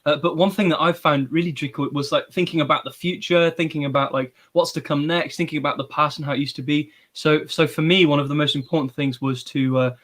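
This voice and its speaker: A young man with a slightly raised voice hosts a casual livestream. He introduces segments like a mock TV presenter, playful and lively, then settles into easy chat. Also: on the high side, fast talker, hardly any pauses. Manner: playful, presenter-like announcing with bursts of energy. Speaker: co-host.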